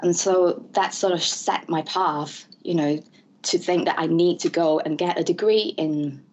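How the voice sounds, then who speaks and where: A woman from Malaysia, around her thirties, answering interview questions with a fairly low voice. She circles her points with frequent ums and repeated words. The audio is dull and lo-fi. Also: fairly even intonation, measured pace, a little mellow. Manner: hesitant, many fillers and restarts. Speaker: interview guest, ADHD advocate. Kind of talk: conversational interview.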